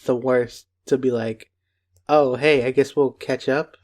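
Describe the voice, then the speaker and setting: A young man co-hosting a podcast in casual comedic chat, teasing and playful, feeling his way haltingly through sentences. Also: mid-range voice, steady tone, darkish tone. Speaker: podcast co-host.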